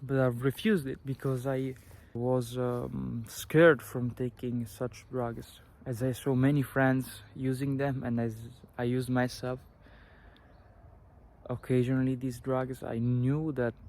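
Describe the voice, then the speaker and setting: A young man from Italy with an Italian accent, talking earnestly to camera and sharing advice, often pausing and restarting his sentences. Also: mid-range voice, level tone, a little mellow. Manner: earnest, halting, with many restarts and fillers. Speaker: vlogger. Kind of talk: personal advice talk to camera.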